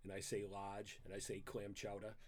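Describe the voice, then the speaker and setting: A man's voice: fairly low pitch, completely flat delivery, normal speed, darkish tone. A middle-aged man with a Maine accent, a guest on a casual podcast, talking in a wordy, mock-formal and playful way. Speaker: guest, host's father.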